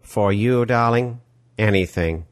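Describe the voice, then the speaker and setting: A man voicing a smooth, sleazy, flamboyant villain with an oily, drawn-out manner in an audiobook. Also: fairly low pitch, dry recording, clean studio sound, pitched above the performer's own voice. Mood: oily, amused.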